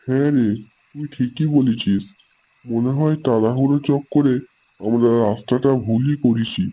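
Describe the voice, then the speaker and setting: Man as husky, friendly cartoon elder brother. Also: mid-range voice, moderate pace, lightly acted. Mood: unsure. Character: friendly, responsible elder brother lost at night. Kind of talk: amateur animated horror story dialogue. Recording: dull lo-fi sound with music.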